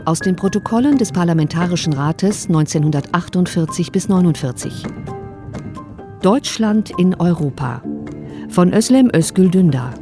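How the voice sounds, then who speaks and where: A woman's voice: fairly low pitch, animated, normal speed, over music. A woman reading a scripted title announcement for a radio drama.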